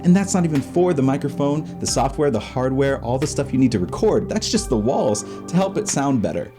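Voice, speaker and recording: A man's voice: medium pitch, lively intonation, brisk, over music. A man hosting a DIY show, narrating a scripted tutorial in an upbeat, playful way with chatty asides. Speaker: host of a DIY creator show.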